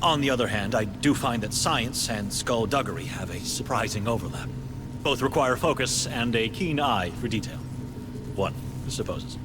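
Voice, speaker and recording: Male actor, wry gentleman scientist, game cutscene, courteous, scheming, animated pitch, noisy rumbling background. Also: normal speed, close to an everyday voice. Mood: droll. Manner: formal, wry, precise diction. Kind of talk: video game cutscene acting. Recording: game audio with rumbling vehicle ambience.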